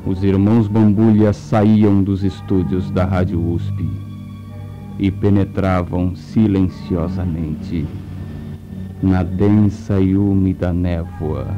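A man narrating a radio play in a slow, solemn, mock-suspenseful way. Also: deep voice, steady tone, lo-fi sound, music in the background.

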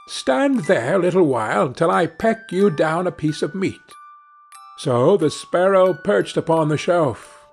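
A man narrating a fairy tale as a scripted audiobook, in a measured, expressive storytelling manner. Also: medium pitch.

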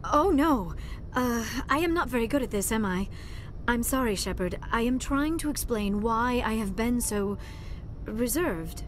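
Earnest, formal young alien scholar. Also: female voice, on the high side, expressive, measured pace, slight background noise. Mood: flustered. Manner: formal, precise wording, avoids contractions. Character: young female alien scholar, earnest, reserved, shy in love. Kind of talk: video game dialogue acting.

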